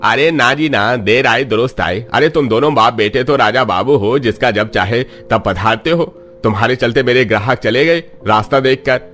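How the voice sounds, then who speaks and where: A man playing a stingy, sarcastic restaurant boss in a cartoon story, scolding his staff in a gruff, scornful way. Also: mid-range voice, moderate pace, hardly any pauses, fairly bright tone, soft background music, close to an everyday voice. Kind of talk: Hindi cartoon story acting.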